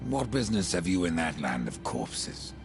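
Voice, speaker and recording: Super deep gravelly grim undead rider, sardonic game antihero, music loud behind. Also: male voice, very animated, normal speed. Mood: curt, sardonic. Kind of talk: video game cutscene acting.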